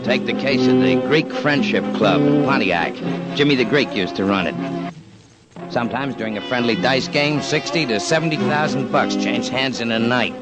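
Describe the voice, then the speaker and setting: An actor playing a tough, matter-of-fact gangland insider with slangy, ungrammatical talk in an old radio crime show. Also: male voice, medium pitch, normal speed, over loud music, natural voice. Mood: knowing. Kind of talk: old-time radio crime documentary acting.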